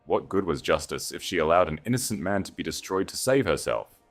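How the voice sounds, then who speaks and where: A man's voice: sits low, animated, a little fast, faint music behind. A man reading a scripted romance audiobook in a solemn, dramatic way. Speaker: narrator.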